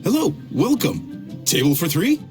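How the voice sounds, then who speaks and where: A man's voice: a little high, wildly swooping pitch, a little slow. A man playing a genial, proud middle-aged chef in a video game, expansive and salesmanlike, with music behind him. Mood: welcoming. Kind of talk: video game character voice acting.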